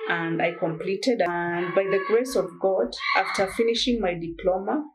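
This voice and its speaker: A young woman from Kenya and Uganda, interviewed, telling her own story in an earnest, halting way with many restarts. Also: mid-range voice, a little hiss or hum, poor audio. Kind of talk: personal testimony in conversation.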